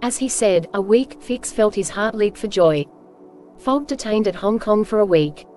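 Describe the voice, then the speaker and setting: A woman's voice: medium pitch, moderate pace, a little mellow. A woman reading scripted audiobook narration as the storyteller. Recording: faint music behind narration.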